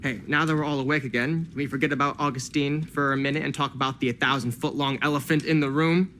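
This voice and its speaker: An actor playing a slick, pushy film collector in a video game, glib and teasing. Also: male voice, mid-range voice, fairly even intonation, fast talker, fairly bright tone, slight background noise, lightly acted. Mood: wry.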